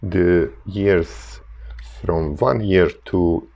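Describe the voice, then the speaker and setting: A very deep-voiced man with a Hungarian accent talks slowly through a hands-on calculator lesson. His English is careful and halting, with frequent "uh" pauses. The audio sounds slightly dull and lo-fi. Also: darkish tone. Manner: halting, many "uh" fillers, careful non-native English. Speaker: calculator tutorial maker. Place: desk indoors, filming paper and calculators.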